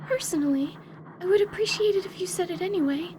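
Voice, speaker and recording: A woman's voice: very high-pitched, fast. A woman playing a small, prim adventurer in a radio theatre drama, speaking in a polite, clipped, insistent way. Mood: polite insistence. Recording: noisy background.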